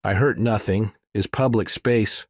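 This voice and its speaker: A man's voice: lowish voice, a little slow, darkish tone, no room sound, studio quality. Man doing a bored Russian tough guy, terse. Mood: bored, flat. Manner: terse broken English, indifferent. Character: bored, muscle-bound bearded Russian man, terse, indifferent.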